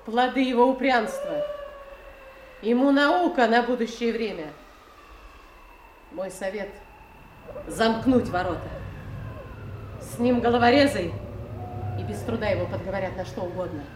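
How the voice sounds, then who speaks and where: A woman playing a cold, hard-hearted noblewoman, a king's daughter, with crisp, imperious delivery in classical audio drama. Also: on the high side, a little slow, long pauses, lightly acted. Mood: cold, resolute. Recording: roomy echo, faint background noise.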